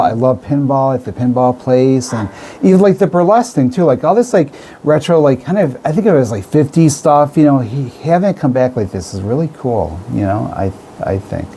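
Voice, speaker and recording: An American man interviewing as a radio host in a chatty, informal way. His voice is mellow with a very lively rise and fall, he sprinkles in "like" and "right", and there is faint noise in the background. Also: mid-range voice. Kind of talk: casual chat interview. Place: radio studio.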